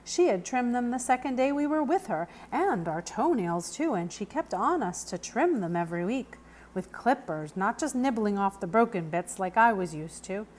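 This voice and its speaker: A woman reads a children's novel aloud in an expressive storytelling style. Her voice is on the high side and moves up and down a great deal. There is a little noise in the background. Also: normal speed. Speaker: book reader.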